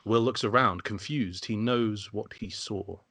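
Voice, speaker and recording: A man serves as narrator at an amateur table read, reading scripted stage directions. His voice sits fairly low and the sound is dry, with little room in it. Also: measured pace, fairly bright tone, dry recording.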